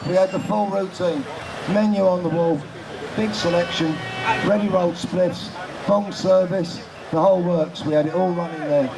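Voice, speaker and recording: A man's voice: sits high, steady tone, normal speed. A man giving an informal, rousing activist speech at an outdoor rally, in short punchy phrases aimed at the audience. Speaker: activist speaker. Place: outdoors in a city square. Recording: outdoor rally with very loud crowd, traffic. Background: loud crowd and street noise.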